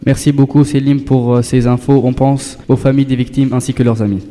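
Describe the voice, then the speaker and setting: Dark-voiced young man hosting school radio. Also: medium pitch, fairly quick, big-room sound, faint music behind. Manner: steady, polite presenter, thanks each guest. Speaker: school web radio host. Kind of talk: reading scripted show links.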